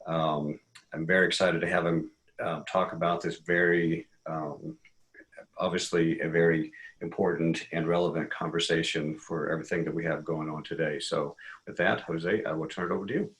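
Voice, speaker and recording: A male host with a very deep voice introduces the speaker over a video call. He talks haltingly and fills his pauses often. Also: little pitch movement, fairly bright tone, rough recording. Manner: halting, with many pauses and fillers.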